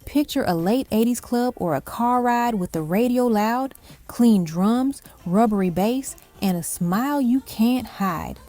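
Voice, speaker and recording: A woman's voice: on the high side, animated, unhurried, warm tone. A woman reading scripted narration for a music countdown, delivered in emphatic, short punchy phrases. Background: faint music under the voice.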